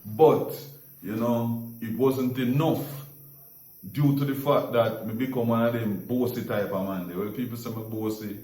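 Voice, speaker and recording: A man's voice: mid-range voice, steady tone, moderate pace, mellow, reverberant, recorded from a distance, rough recording. A Jamaican man hosting a casual story-sharing chat, rambling and repeating words as he searches for them. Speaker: host.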